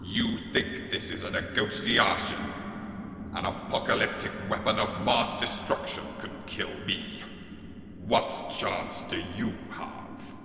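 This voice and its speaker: A man playing a menacing alien king in a sci-fi audio drama, speaking in a slow, pompous, breathy and gravelly way. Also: fairly low pitch, steady tone, full character voice. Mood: scornful threat. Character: menacing reborn alien king, contemptuous of humans. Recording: cavernous echoing hall, loud music.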